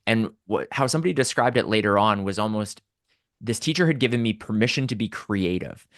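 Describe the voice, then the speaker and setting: A man's voice: on the low side, animated, fairly quick, fairly bright tone, dry recording, studio quality. A man from Southern Alberta with a Canadian accent, telling personal stories conversationally and articulately as a podcast guest.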